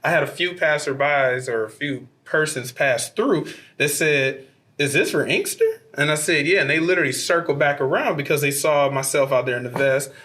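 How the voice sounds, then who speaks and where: A city councilman with a slightly hoarse voice, making an earnest appeal to the public at a council meeting, with frequent fillers. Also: a little high, normal speed, sharp tone.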